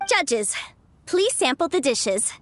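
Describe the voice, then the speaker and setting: A woman's voice: high-pitched, very animated, takes it slowly, noisy background, full character voice. A woman playing a poised, upbeat contest announcer in a video game, formal and projected in her delivery.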